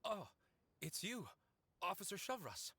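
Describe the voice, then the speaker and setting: An actor playing a wary, weary, guarded gentleman suspect in a video game. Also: sits high, very animated, a little slow, distant mic, background noise, heavily acted. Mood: wary, resigned. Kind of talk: video game character acting.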